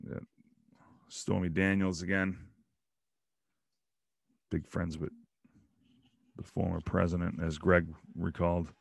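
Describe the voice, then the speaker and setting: A man in his thirties hosting a casual solo show, rambling and joking as he opens card packs in a small home room on a boom mic. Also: little pitch movement, slow, creaky, long pauses, husky, no echo. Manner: rambling, joking asides about players. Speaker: podcast host opening baseball card packs. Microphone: studio mic on boom arm, headphones.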